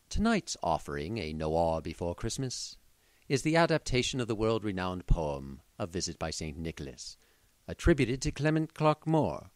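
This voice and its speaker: Low-voiced, animated male announcer. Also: no room sound. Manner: formal, literate presentation. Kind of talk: scripted radio introduction.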